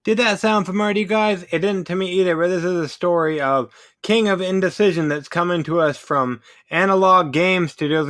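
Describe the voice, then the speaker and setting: A man's voice: sits high, a bit bright. A man hosting a podcast, chatting casually and conversationally with his guests. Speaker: podcast host. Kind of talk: casual interview chat.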